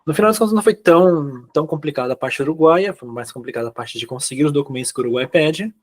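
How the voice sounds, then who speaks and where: Man, Brazilian accent, slightly high voice. Casual interview guest sharing his own experience as an immigrant. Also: lively intonation, fast talker. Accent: Brazilian Portuguese. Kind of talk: casual conversation, telling personal experience.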